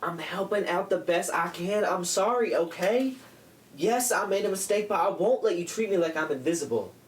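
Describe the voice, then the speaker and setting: A man's voice: sits high, animated, normal speed. A man playing an earnest, defiant teenage boy in a wartime radio play, defensive in manner. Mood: hurt, pleading. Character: earnest, defiant teenage brother enlisting in the army. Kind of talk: holiday radio play acting. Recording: echoey room, distant mic, noisy background.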